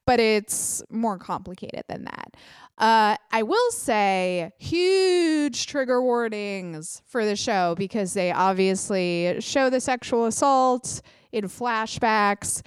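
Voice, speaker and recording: A young adult woman hosting her own podcast, chatting casually with quick self-deprecating asides, sounding a little congested. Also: fairly high pitch, expressive, clean tone, no room sound, studio quality. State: congested, clogged ear. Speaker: podcast host. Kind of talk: casual solo podcast chat.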